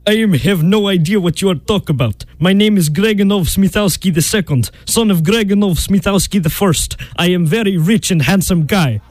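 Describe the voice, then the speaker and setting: An actor playing a vain, boastful suitor with a mock Russian accent and broken English in a comic radio play. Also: male voice, sits high, moderate pace, few pauses, darkish tone, dry recording, pitched above the performer's own voice, close to an everyday voice. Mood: pompous, insistent.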